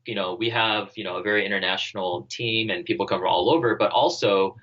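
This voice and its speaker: The guest on a career podcast, a man with a forceful low voice, working out his answers as he goes, on slightly dull audio. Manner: halting, thinking aloud, heavy use of "like" and "you know". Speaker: interview guest, startup co-founder and former army officer. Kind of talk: conversational podcast interview answers.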